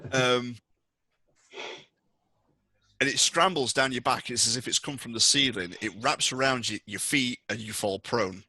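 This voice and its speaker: A man's voice: mid-range voice, a little slow, pauses often, sharp tone. A man working as game master, improvising narration for a tabletop horror role-playing game.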